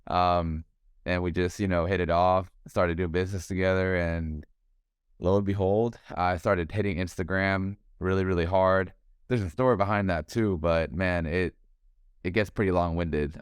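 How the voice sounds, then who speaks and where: A young man with a low, smooth voice talks loosely and at length, dropping "man" and "bro" as he goes. He is a podcast guest on a video call from home. The sound is close and dry. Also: no room sound. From Thailand. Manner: relaxed, talkative, calls the host bro and man. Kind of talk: casual interview chat.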